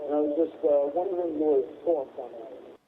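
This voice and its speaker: A man's voice: a little high, over a noisy crowd. Stammering male caller on tinny phone line. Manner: polite, halting, stammering, repeating himself. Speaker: caller to a live show. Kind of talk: phone-in discussion.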